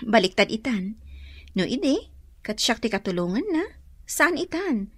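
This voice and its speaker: A spirited, curious young daughter in a radio drama. Her voice is fairly high and husky, recorded dry and close. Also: big pitch swings, unhurried, a bit bright, dry recording, full character voice. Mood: curious, insistent. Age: young adult.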